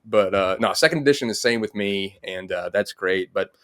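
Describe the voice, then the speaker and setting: A man's voice: on the low side, moderate pace, a bit bright. A middle-aged man, hosting a casual interview. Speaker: host. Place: indoor home room, on a video call.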